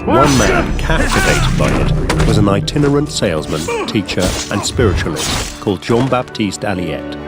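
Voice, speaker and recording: Low-voiced male documentary narrator over loud music. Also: huge pitch swings, moderate pace, few pauses. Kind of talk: scripted narration.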